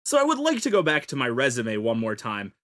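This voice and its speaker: Podcast panelist, animated and varied in pitch, selling an improvised comic pitch with playful rhetorical questions. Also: a little fast.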